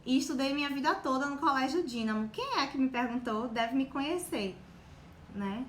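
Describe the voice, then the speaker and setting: A young woman with a Bahian accent speaks in a fairly high voice, encouraging and chatty, checking in with her listeners again and again. She streams live from an echoey room. Faint noise sits under her voice. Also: lively intonation, normal speed. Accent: Bahian Portuguese. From Bahia, Brazil. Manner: warm, encouraging, full of fillers like "né" and "tá". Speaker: guest on a live stream about exam preparation. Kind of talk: casual motivational talk to a live audience.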